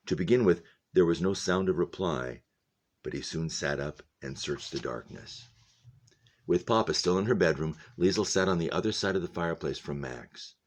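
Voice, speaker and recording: Very deep-voiced man reading aloud. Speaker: teacher reading a novel aloud to a class. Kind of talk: read-aloud narration with commentary.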